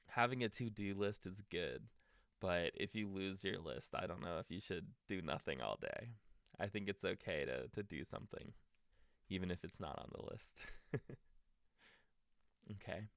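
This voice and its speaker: Slow, low-voiced man reading bedtime stories. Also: animated, a bit bright, no echo, clean studio sound. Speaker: storytime reader. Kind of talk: bedtime story narration for young children.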